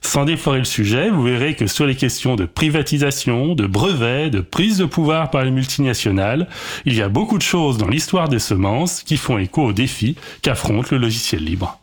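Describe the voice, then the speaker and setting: A man's voice: mid-range voice, expressive, moderate pace, husky. A man delivering a prepared radio column in formal, explanatory phrasing.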